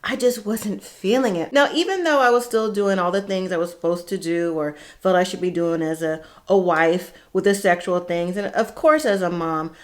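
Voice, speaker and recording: A middle-aged woman telling a personal story casually and candidly, in a conversational way with dramatic pauses. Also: fairly low pitch.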